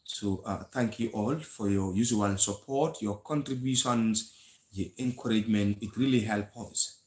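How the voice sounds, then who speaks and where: A Gambian man with a Gambian English accent hosts a live history stream in an earnest, lecturing manner, stopping often on fillers and restarting his sentences. His voice sits fairly low. The sound is roomy and echoey. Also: a little slow. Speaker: host of a history channel.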